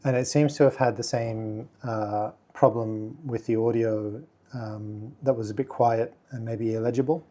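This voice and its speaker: A man hosting a casual software tutorial, speaking quietly and thinking aloud with many fillers as he works. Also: medium pitch, steady tone, a little slow, few pauses. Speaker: video tutorial host. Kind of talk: casual screen-recording tutorial.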